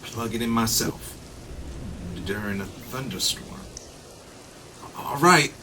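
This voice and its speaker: A man's voice: mid-range voice, expressive, slow, sharp tone, recorded from a distance, slight background noise, exaggerated voice. A man playing a hapless, forgetful diner customer in a radio play, hesitant, stammering and dryly wry. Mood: bewildered.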